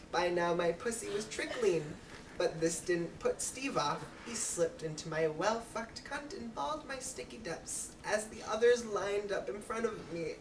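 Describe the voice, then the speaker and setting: A woman reading a story aloud as an amateur, with a giggly manner. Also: medium pitch. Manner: giggly, breaking into laughs while reading. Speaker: amateur reader. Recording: roomy, distant mic with clear noise.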